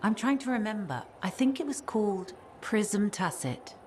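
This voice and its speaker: An actress playing a weary, caring ex-spy in a video game, speaking in a measured, earnest way. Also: female voice, mid-range voice, very animated, a little mellow, a little hiss or hum, close to an everyday voice. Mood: guarded, troubled. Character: guarded, weary female ex-spy, worried caring wife. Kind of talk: video game dialogue acting.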